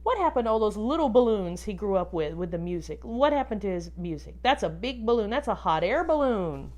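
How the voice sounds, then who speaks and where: A woman reading a children's book aloud expressively, like a teacher, pausing to instruct and put questions to young listeners. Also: mid-range voice, sing-song, a little mellow. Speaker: music teacher reading a picture book to pupils.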